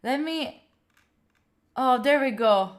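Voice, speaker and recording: A young Italian woman talks on her stream in a fairly high voice. She chats loosely, giggling and filling pauses with "like" and "uh". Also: lively intonation. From Italy. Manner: chatty, giggly, rambling with many fillers. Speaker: study streamer. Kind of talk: casual live-stream chat.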